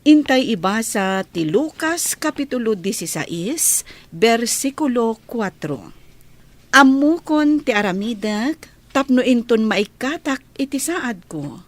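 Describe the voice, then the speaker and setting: Female narrator, scripted drama opener with scripture, solemn, unhurried, dry close sound. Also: mid-range voice, no room sound. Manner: solemn, measured. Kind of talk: scripted introduction and scripture reading.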